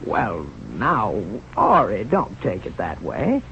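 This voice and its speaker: An actor playing a flustered, cautious small-town father, protesting in drawn-out folksy phrases in a radio drama. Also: lowish voice, wildly swooping pitch, gravelly, fairly bright tone, full character voice. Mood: flustered, placating. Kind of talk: 1930s radio drama acting. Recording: muffled, noisy old radio recording.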